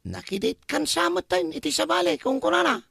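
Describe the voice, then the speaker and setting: Man putting on a high, teasing buddy voice. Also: animated, a little fast, gravelly, bright, forceful tone, no room sound, clean studio sound, exaggerated voice. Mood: amused teasing. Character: teasing, gossipy male buddy of a harried mechanic. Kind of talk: Ilocano comedy audio drama acting.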